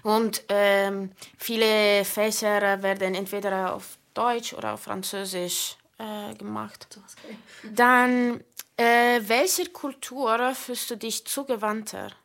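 Slow, hesitant woman interviewer. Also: medium pitch, fairly even intonation, fairly bright tone. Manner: hesitant, many fillers. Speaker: radio interviewer. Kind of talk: radio interview.

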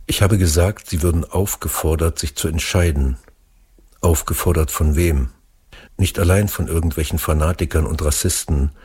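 Deep husky man reading aloud. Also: a little mellow. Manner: measured, deliberate reading. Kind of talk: reading a book excerpt aloud.

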